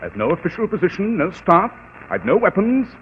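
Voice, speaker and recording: An actor playing a brilliant, pompous detective with precise, dramatic diction in a 1940s radio mystery. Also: male voice, high voice, sing-song, brisk. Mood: assured, formal. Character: brilliant, self-assured, theatrical male private detective. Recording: tinny, noisy old 1940s radio broadcast.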